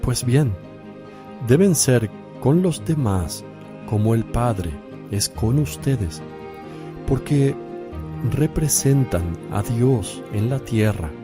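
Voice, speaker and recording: A solemn male spiritual teacher in a religious radio play. He speaks very slowly and deliberately, with calm authority, at a medium pitch. Music plays clearly behind the voice. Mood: solemn, instructing. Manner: slow, deliberate, preaching phrasing. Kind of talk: religious radio drama acting.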